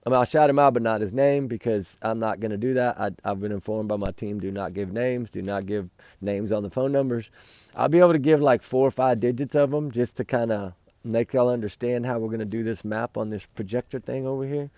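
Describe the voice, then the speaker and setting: Hoarse, gravelly man by a campfire. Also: medium pitch, fairly even intonation, brisk, warm tone, no room sound. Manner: rambling, earnest, addresses viewers as y'all. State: losing his voice. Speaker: livestream host. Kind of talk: casual night talk to viewers. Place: outdoors by a campfire at night.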